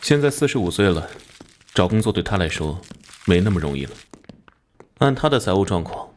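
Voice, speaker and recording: Police captain, somewhat low voice, firm, matter-of-fact, authoritative, animated detective drama. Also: male voice, fairly quick, slight background noise. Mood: explaining. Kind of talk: animated crime drama dialogue.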